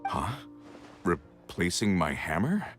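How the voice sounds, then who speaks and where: Low-voiced, earnest working family man. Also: sing-song, slow pace, soft background music, heavily acted. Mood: puzzled. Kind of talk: scripted anime game dialogue.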